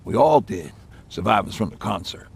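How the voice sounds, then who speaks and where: An American man playing a weary survivor of nuclear war in a game, recounting it in plain, halting, profane words. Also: fairly low pitch, expressive, vocal fry, a little mellow, slight background noise. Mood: weary, somber. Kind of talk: naturalistic game acting, recounting past events.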